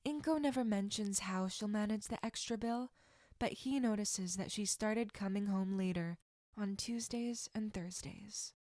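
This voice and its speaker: A woman's voice: medium pitch, no echo. A woman reading fan fiction aloud as a scripted story narration.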